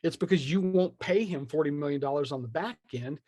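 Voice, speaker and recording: A man's voice: a little high, brisk, mellow, no room sound. A man talking casually and with strong opinions as a podcast guest, slipping into imagined quotes in slangy language. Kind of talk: animated casual conversation.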